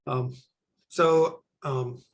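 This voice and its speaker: A man from Israel, a lung doctor, answering interview questions in a halting way with many pauses. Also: medium pitch, fairly even intonation. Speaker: pulmonologist guest.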